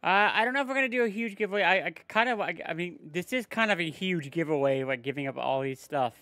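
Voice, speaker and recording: A man hosting a casual livestream giveaway, chatting with viewers and family in quick bursts. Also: high voice, animated, bright, forceful tone, dry recording. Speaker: livestream host running giveaways.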